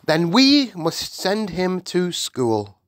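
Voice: medium pitch, big pitch swings, slow pace, dry recording, clean studio sound, pitched above the performer's own voice. A storybook reader voicing a gracious, self-composed queen with a polite, formal manner.

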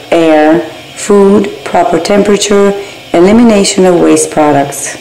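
Low warm lady reading microbiology slides, steady pace, little slips, kinda gravelly. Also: roomy sound, a little hiss or hum, poor audio. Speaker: barbering theory instructor. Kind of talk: reading exam slides aloud.

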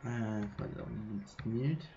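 A man's voice: lowish voice, moderate pace, vocal fry, echoey room, highs cut off, background noise. A French man with a cold giving a casual, hesitant game walkthrough, full of fillers and restarts. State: has a cold. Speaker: gaming channel host.

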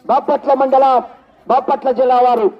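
A man's voice: very high-pitched, fairly even intonation, normal speed. A man with an Andhra Telugu accent giving live contest commentary as an event announcer, repeating his announcements and throwing in exclamatory cries. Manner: repetitive announcing broken by loud exclamatory cries. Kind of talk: live contest commentary over a public address. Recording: dull lo-fi sound.

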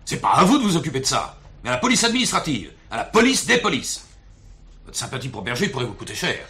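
An actor playing a gruff, impatient, sceptical police director in a French radio play, curt and exasperated. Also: male voice, mid-range voice, wildly swooping pitch, fast talker, pushed and bright. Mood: outraged.

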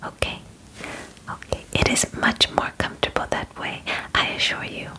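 A woman's voice: pushed and bright, rough recording. A woman in her twenties doing an ASMR roleplay as a polite, clinical alien examiner, speaking formally and soothingly close to the mic. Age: 20s. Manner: formal, soothing, deliberate phrasing. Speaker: ASMR performer. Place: small bedroom, indoors.

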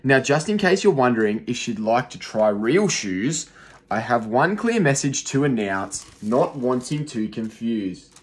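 A young male teacher reading a rhyming picture book aloud to young children, in a rhythmic, expressive way, seated in a small room and recorded on a phone close in front. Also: mid-range voice, a little slow. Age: young adult.

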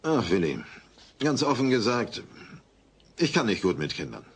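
A man's voice: mid-range voice, very animated, normal speed, husky. A man playing a blunt, hapless, grumbling neighbour in a sitcom audio drama. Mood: helpless.

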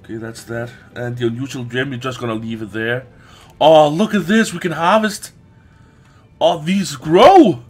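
A man's voice: mid-range voice, steady tone, slightly rough, soft background music. A man giving casual, exclamatory gameplay commentary with frequent swearing as a let's play host. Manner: exclamatory, often swearing, drawn-out words.

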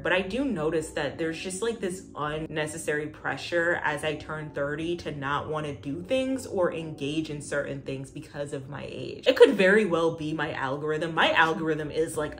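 A woman of about twenty-nine with a notably low-pitched voice talks to camera in a casual vlog style. She is candid and colloquial, sharing opinions with slangy asides, recorded indoors at home. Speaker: host of a personal vlog channel. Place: room at home, indoors by a door.

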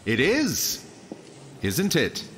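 A man's voice: medium pitch, huge pitch swings, extremely slow, a bit bright, slight background noise, exaggerated voice. A man playing a jittery, stammering adventurer in a fantasy audio drama, flustered and evasive.

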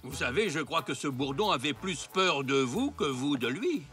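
A woman voicing a calm, kind grandpa zebra in a cartoon, patiently explaining things. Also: deep voice, moderate pace, faint music behind. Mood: gentle. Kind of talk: French cartoon dubbing.

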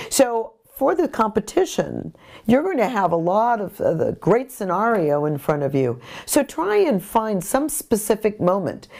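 A middle-aged woman giving informal art instruction in deliberate, explanatory short phrases. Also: lowish voice, dark tone. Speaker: courtroom artist giving instruction. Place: small bright home studio, indoors by a window.

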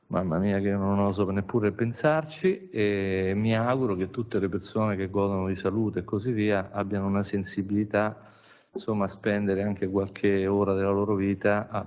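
A man's voice: lowish voice, darkish tone. A man, a regional official, speaking off the cuff at a press conference, rambling with many restarts and asides. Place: large conference hall with an audience.

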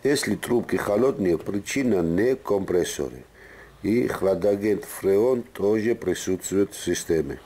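A man's voice: low voice, lively intonation, measured pace, mellow, slightly rough. A man giving an instructional voice-over as the presenter of a car repair tutorial.

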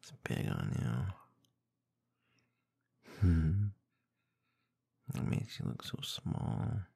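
A man performing intimate ASMR roleplay in a soft, breathy, gravelly murmur close to the microphone, with a teasing manner. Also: a bass voice, big pitch swings, no room sound. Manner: soft, teasing, slow murmuring with small chuckles and hums. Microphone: close binaural microphone.

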